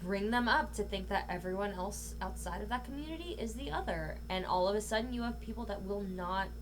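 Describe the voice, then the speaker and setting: A woman's voice: mid-range voice, brisk. A woman talking casually as a podcast guest, outspoken and emphatic, swearing freely. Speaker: podcast guest, voice actor and comedian. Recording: faint hum and background noise.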